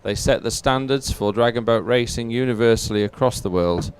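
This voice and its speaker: A man's voice: mid-range voice, fairly quick, clear, resonant tone. A man giving live, unscripted sports commentary in a chatty, hesitant, explanatory way.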